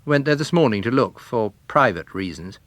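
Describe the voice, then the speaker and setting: A man voicing a prickly, argumentative gentleman. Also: medium pitch, animated, normal speed, dry recording, lightly acted. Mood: curt, defensive. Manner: curt, contradicting. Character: disagreeable man, a detective-story writer, prickly and argumentative.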